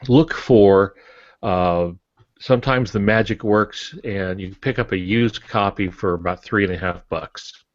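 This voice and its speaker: A man's voice: on the low side, a little slow, no room sound, dull audio. An older man co-hosting a podcast, talking casually and giving advice like a teacher in a panel discussion. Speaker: podcast co-host and writing teacher.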